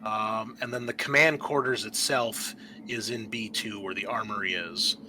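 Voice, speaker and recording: A man working as game master, narrating a tabletop horror game in a halting, explanatory way. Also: on the low side, steady tone, music in the background, poor audio.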